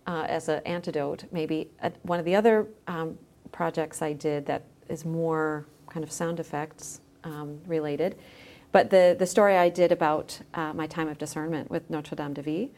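A woman with a slightly low voice talks as an interview guest. She explains things conversationally, feeling her way through phrases and trailing off now and then. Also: a little mellow. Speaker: guest, editor and audio creator.

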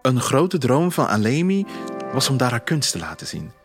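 A man narrates a first-person audio story at a middling pitch. His delivery is reflective and leaves pauses between phrases, and music plays clearly behind his voice. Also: very animated.

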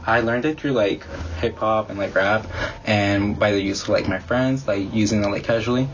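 A man's voice: fairly low pitch, a little hiss or hum. Young man, Spanish first language, earnest, hesitant, filler-heavy, dull lo-fi sound. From Mexican-American community. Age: young adult. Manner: earnest, halting, heavy use of "like". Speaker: interviewee, student. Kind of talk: casual interview answer.

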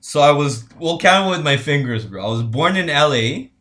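A man's voice: fairly high pitch, expressive, moderate pace, a bit bright. A young man with a Spanish accent, a Spanish speaker from Honduras, chatting loosely as a podcast guest and laughing often. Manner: laid-back, laughs often, says bro a lot. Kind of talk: casual chat.

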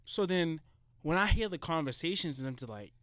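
A man's voice: on the high side, a little fast, no room sound. A young man, hosting a casual podcast chat, swearing freely and acting out quoted speech. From United States. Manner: animated, swears a lot, acts out quoted speech loudly. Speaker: podcast host.

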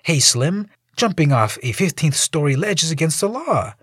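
A man's voice: on the high side, big pitch swings, moderate pace, a bit bright, breathy edge, dry recording, clean studio sound, voice pushed up, heavily acted. A man voicing a wisecracking young male superhero who talks in jokey, breezy quips. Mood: friendly.

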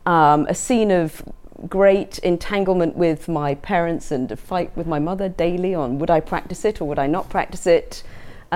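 A middle-aged southern English woman with a notably low voice. She gives a personal talk to an audience, speaking conversationally and reflectively, as an artist discussing her own practice. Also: a little mellow. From England.

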